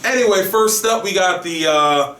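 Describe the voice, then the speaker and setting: A man's voice: sits high, normal speed, pushed and bright, recorded from a distance. A man hosting a casual geek news podcast, recorded with a roomy echo a little off the mic. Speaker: podcast host.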